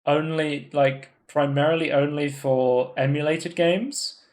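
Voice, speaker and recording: A man's voice: on the high side, steady tone, slow pace. Chatty man rambling with fillers. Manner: rambling, many fillers and restarts. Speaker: podcast co-host. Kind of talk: casual gaming news chat. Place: home room, live stream.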